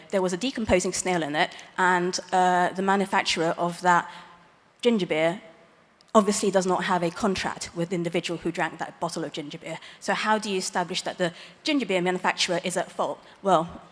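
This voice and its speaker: A woman lawyer giving a conference talk, explaining legal ideas plainly with light, self-aware humor. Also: fairly low pitch. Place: large conference hall stage. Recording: long reverb of a big hall.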